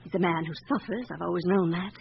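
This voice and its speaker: An actress in a radio drama playing an anxious, guarded upper-class wife with a formal, earnest manner. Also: female voice, on the low side, fast, gravelly. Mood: earnest, confiding. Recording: dull radio recording with background hiss.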